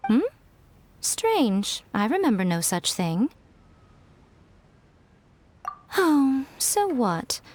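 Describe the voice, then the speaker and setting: A woman's voice: on the high side, sing-song, slow, a little mellow, soft background music, heavily acted. A woman voicing a sly, elegant fox shrine maiden in an anime game, teasing, languid, smug and self-important.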